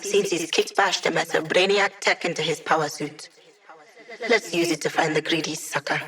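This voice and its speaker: A woman's voice: deep voice, steady tone, moderate pace, fairly bright tone. An actress voicing a snarky, upbeat young female hacker who gives tech support in a video game, with playful, wry, casual quips. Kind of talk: video game character voice lines.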